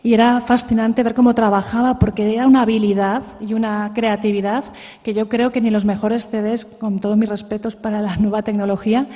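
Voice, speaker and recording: A woman from presenting informally at a conference, halting and conversational, often adding tag questions. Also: medium pitch, level tone. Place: large hall at a conference. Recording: muffled, reverberant hall sound.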